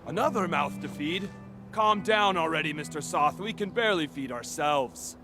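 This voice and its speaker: A man voices a grumbling, pragmatic male survivor in a video game, skeptical in manner. The voice sits fairly high for a man, with music clearly behind it. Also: lively intonation, normal speed, natural voice. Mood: exasperated. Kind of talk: video game cutscene acting.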